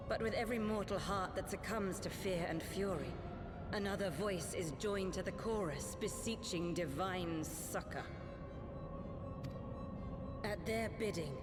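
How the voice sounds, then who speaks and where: Slow, high-voiced ominous villain. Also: male voice. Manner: portentous, theatrical. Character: ominous, grandiose male villain prophesying doom. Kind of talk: scripted fantasy game dialogue. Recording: echoing hall, loud music behind.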